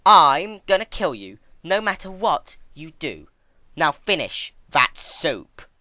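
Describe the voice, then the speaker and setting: A performer voicing a cruel, cold female pony tormentor with menacing, drawn-out emphasis in a fan fiction reading. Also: mid-range voice, sing-song, pushed and bright, no room sound, pitched above the performer's own voice, exaggerated voice. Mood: cold, threatening.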